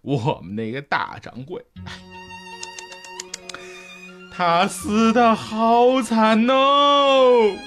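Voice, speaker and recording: An actor playing a whiny, boastful second-in-command shopkeeper in a comic radio play, with melodramatic, drawn-out wailing. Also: male voice, very high-pitched, expressive, full character voice. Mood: tearful. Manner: melodramatic, sing-song wailing with drawn-out words. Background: music behind the voice.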